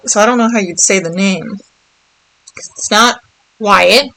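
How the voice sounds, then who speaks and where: A woman's voice: mid-range voice, a little slow, sharp tone, poor audio. A woman chatting casually as she streams a game, rambling and thinking aloud. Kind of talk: casual livestream chat while playing.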